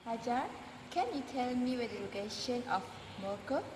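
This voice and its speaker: A woman interviewer asking questions in a halting, hesitant way. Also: a little high, over loud music. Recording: echoey hall with background noise.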